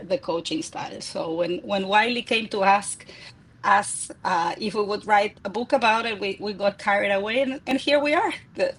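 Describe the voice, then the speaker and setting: Husky Argentine woman, eager explainer. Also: mid-range voice, low-quality recording. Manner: enthusiastic, repeats words as she restarts. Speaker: guest, executive coach and author. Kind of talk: interview answers.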